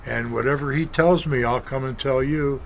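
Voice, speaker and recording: An older man raised in Kentucky, a former stuntman and filmmaker, telling stories as a talk show guest in a plain, unhurried way. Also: mid-range voice, gravelly, slight background noise. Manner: unhurried, plain storyteller, acts out quoted dialogue. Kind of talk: storytelling in an interview.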